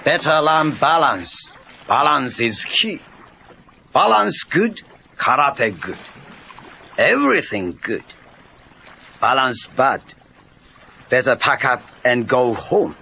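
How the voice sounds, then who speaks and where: An actor playing a gruff, wise, teasing older Japanese karate teacher in a read-along cassette drama, with a Japanese accent and clipped broken English. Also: male voice, medium pitch, very animated, slow pace, pauses often, bright, forceful tone, full character voice. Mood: instructive. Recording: dull cassette tape with faint noise.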